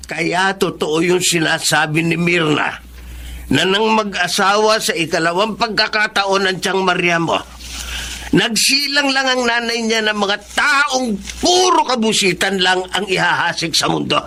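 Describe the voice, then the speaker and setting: Casting: stern middle-aged uncle, radio drama; high, forceful, accusing voice. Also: male voice, measured pace, slight background noise, full character voice. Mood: exasperated. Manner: gruffly scolding, accusing. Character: stern, suspicious uncle, threatening his scheming nephews.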